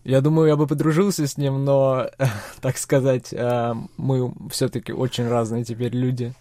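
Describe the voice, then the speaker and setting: Soft-spoken young man, thoughtful. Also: mid-range voice, level tone, no room sound, studio quality. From Czech Republic. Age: young adult. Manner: measured, hesitant, many fillers. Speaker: interviewee, young composer. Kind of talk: thoughtful interview answers.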